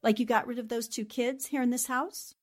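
A woman's voice: fairly high pitch, steady tone, fairly quick, darkish tone, natural voice. An actress playing a brave, serious American newspaperwoman in a 1940s radio drama, earnest and resolute in manner. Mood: sharp deduction.

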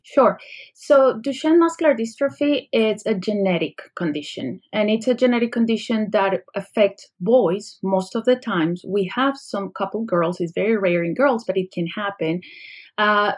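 A woman's voice: medium pitch, measured pace. A middle-aged woman from Colombia with a Colombian Spanish accent, a doctor explaining a medical condition in a podcast interview, patient and full of analogies. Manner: explanatory, uses analogies, some fillers. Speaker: pediatric neurologist guest.

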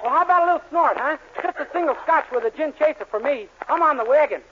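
A man's voice: lively intonation, fast. A man in a 1940s radio sitcom, wisecracking in a brash playboy manner. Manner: high tenor, brash wisecracking playboy act. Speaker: comedy lead. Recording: lo-fi 1940s radio broadcast with loud hiss.

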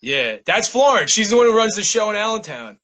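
A man with an American accent and a notably high voice for a male speaker. He is a guest poet, talking in a loose, slangy, laid-back way and reading from his writing. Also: sing-song, fairly quick, fairly bright tone. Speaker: guest poet and small press publisher. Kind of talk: casual interview chat and poetry reading.

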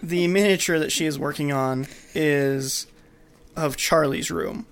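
A man's voice: on the high side, unhurried, gravelly. A man co-hosting a casual discussion, retelling a film step by step. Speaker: podcast co-host.

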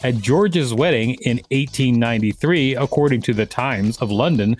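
Male podcast host, mid-pitched, reads articles aloud with casual commentary, faint music underneath. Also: normal speed, a little mellow.

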